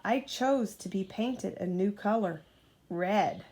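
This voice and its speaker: Calm male storybook engine, honest and matter-of-fact, very unhurried; a woman reads the part. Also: medium pitch, close to an everyday voice. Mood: explaining.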